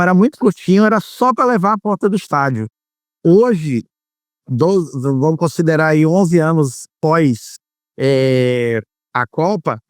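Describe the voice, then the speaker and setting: Measured man from Bahia, smooth voice. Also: sits high, no room sound, clean studio sound. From Salvador, Bahia, Brazil. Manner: measured, explanatory, many hesitations. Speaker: former marketing executive, guest. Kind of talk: interview answers.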